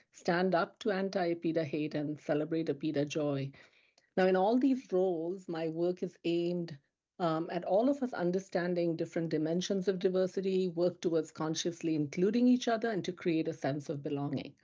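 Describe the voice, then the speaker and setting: A woman's voice: lowish voice, mellow, dull audio. A woman speaking as a panelist, earnest and reflective. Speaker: diversity program manager, panelist. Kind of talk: panel discussion.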